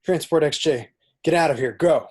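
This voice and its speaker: A man playing a brisk, bossy space rogue with a slightly husky voice, reading a film script. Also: on the high side, a little mellow. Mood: commanding. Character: brisk, bossy, roguish male rebel pilot hero. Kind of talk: table-read acting of a film script.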